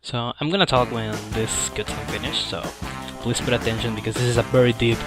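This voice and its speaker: English-speaking man over loud music. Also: medium pitch, fairly even intonation, brisk. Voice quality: non-native English. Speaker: speedrunner. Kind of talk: livestream gameplay commentary. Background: game music.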